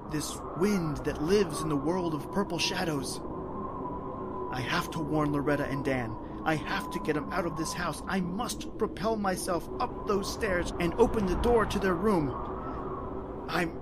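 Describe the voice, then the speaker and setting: An actor playing a tormented, invisible male spirit in an old-time radio horror play, with dramatic, anguished, halting delivery. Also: on the high side, very noisy, natural voice. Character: tormented invisible male spirit wandering his haunted house.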